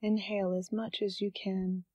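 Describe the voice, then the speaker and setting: Woman guiding meditation in an even tone. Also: mid-range voice, slightly rough, no echo. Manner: gentle, calm, unhurried instruction with pauses. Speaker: meditation guide. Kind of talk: scripted guided meditation.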